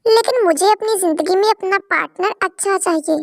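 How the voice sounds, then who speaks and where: A woman's voice: very high voice, fairly quick, pitched above the performer's own voice. A woman voicing a young college woman in a cartoon moral story, sweet but blunt and practical. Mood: cold, blunt.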